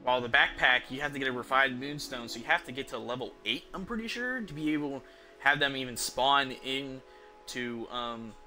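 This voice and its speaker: A young man chats as a game streamer, with an average-pitched voice and music clearly behind him. His talk is informal and rambling, with some swearing. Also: expressive, brisk, sharp tone. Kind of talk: casual live game commentary. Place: indoor room at a streaming setup. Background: game music and sounds.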